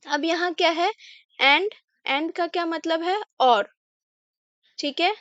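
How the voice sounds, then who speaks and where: A young Indian woman with a high voice teaches an English lesson slowly and patiently. She works through a text line by line, puts a question to her listeners and then answers it herself. The sound is close and dry, with no room echo. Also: fairly bright tone, muffled recording. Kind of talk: slow line-by-line English lesson explained in Hindi.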